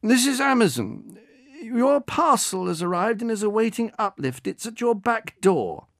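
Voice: medium pitch, very animated, unhurried, fairly bright tone, dry recording, studio quality, pitched above the performer's own voice. A narrator voicing an older man at a delivery desk, speaking with forced professional cheer. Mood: coaxing. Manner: professional good cheer, coaxing. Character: old male drone delivery worker, falsely cheerful.

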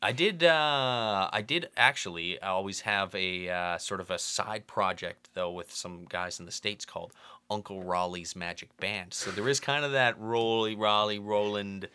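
A man's voice: on the low side, measured pace, pushed and bright, clean tone. A middle-aged man chatting casually as a podcast guest, telling stories in a self-interrupting way.

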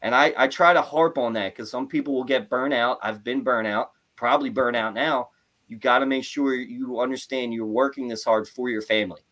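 A man hosting a casual interview chat, talking in an animated, rambling way with lots of "like" and "right?". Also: mid-range voice, a little fast. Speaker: podcast host.

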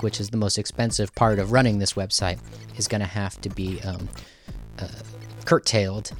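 A man hosting a podcast, speaking casually and unscripted to listeners in a halting, conversational way with rambling asides. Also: medium pitch. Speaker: radio podcast host.

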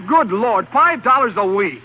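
An actor playing a frustrated, self-pitying young husband in a 1930s radio drama, petulant and excitable. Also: male voice, very high-pitched, normal speed, breathy edge, full character voice. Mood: petulant, indignant. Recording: noisy 1930s radio broadcast, tinny and narrow.

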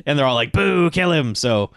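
A man's voice: on the high side, moderate pace, creaky, no echo. Man retelling a movie plot, dry close mic. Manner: laughing, swears freely, animated retelling. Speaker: podcast co-host. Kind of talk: casual chat about a movie.

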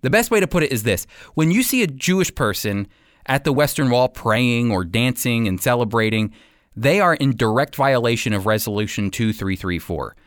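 Emphatic male commentator with lively, swooping intonation. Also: mid-range voice, normal speed, dry recording, studio quality. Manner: emphatic, persuasive, direct address to listeners. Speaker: host and Bible teacher giving commentary. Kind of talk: scripted radio commentary.

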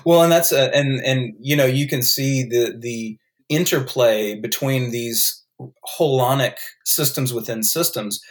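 A man with a North Carolina accent talks through an idea with open enthusiasm. He stops and restarts now and then as he explains. His voice sits at an ordinary pitch. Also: measured pace. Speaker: podcast guest, author. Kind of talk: conversational interview explanation.

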